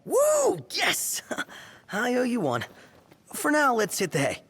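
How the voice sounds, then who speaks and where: An actor playing an excitable, boyish young man in a video game, a goofy photographer with a bubbly, playful manner. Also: very high-pitched, takes it slowly, slightly rough, full character voice. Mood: excited, playful. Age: young adult. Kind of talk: video game cutscene acting.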